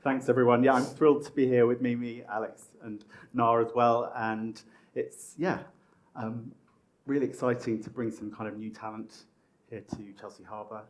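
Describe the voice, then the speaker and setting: A man's voice: mid-range voice, flat delivery, normal speed. A man, a magazine editor hosting a design panel, chatty and full of fillers. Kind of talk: moderating a panel. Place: stage at a design event.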